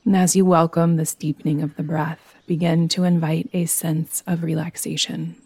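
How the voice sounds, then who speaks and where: A woman guiding a yoga nidra meditation in a soothing, gentle, unhurried manner. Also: sits low, level tone, slightly rough, no echo. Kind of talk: guided meditation.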